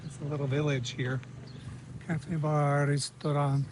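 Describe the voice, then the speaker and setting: A man's voice: a little high, flat delivery, moderate pace, darkish tone, slight background noise, poor audio. A man vlogging his trip outdoors in a village, chatting casually and muttering asides, sometimes in a whisper. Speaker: travel vlogger. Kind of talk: casual walking vlog commentary. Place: outdoors in a village street and on a café terrace.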